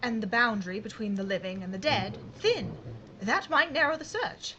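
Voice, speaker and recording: A woman's voice: fairly high pitch, expressive, normal speed, sharp tone, dull audio, a little hiss or hum. An actress playing a plucky, dry-witted aristocratic lady investigator, poised and wry, in an audio drama. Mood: pondering. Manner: poised, wry, well-bred.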